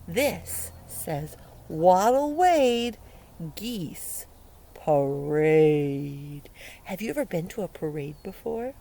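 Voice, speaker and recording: A woman reads a picture book to young children with lively, swooping intonation and an unhurried pace. She sounds playful and full of wonder, often turning to the listener with simple questions. She is outdoors, with faint ambient noise behind her. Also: mid-range voice. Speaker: early learning educator reading a picture book aloud. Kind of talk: interactive read-aloud for young children. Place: outdoors beside a lake.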